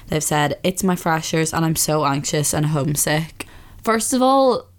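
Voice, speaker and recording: Low, slightly husky chatty woman. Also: a little slow. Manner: chatty, relaxed, lots of fillers. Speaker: podcast host. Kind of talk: casual chat. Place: her bedroom, sitting on bed.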